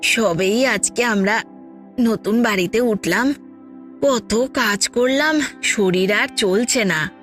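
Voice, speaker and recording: An actress playing a village mother in an animated horror story, speaking in a slow, drawn-out way. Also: fairly high pitch, soft background music. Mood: weary. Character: tired village mother, later cold and withdrawn.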